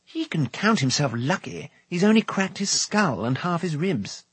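A man voicing a spirited, fretful bard with a raspy, exclamatory delivery in a storybook reading. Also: a little high, unhurried, no room sound, voice pushed up, exaggerated voice. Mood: worried. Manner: animated, exclamatory.

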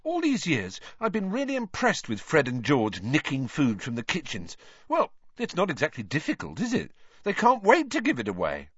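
A man's voice: a little high, wildly swooping pitch, normal speed, fairly bright tone, husky, no echo, muffled recording, voice pushed up, full character voice. A man voicing an easygoing, joking teenage schoolboy with a casual, wry manner.

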